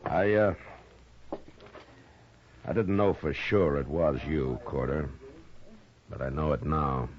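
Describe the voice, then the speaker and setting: An American man playing a steady, tough frontier marshal in a 1950s radio western, terse, commanding and understated. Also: very deep voice, slow pace, long pauses. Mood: stern, commanding. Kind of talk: 1950s radio western acting, with first-person narration. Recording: dull vintage radio recording with music.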